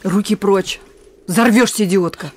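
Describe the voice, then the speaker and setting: A woman's voice: medium pitch, measured pace, lightly acted. A woman playing a young female partisan messenger in a radio drama, terse and guarded, with a teasing, wistful streak. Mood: sharp, teasing. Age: young adult. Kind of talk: Russian radio drama acting.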